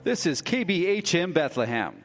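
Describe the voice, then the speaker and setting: An actor playing a polished radio station announcer who introduces news bulletins in a live radio drama. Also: male voice, fairly high pitch, big pitch swings, moderate pace, over music. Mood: announcing. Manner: polished broadcast announcer delivery. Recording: live stage recording in a hall.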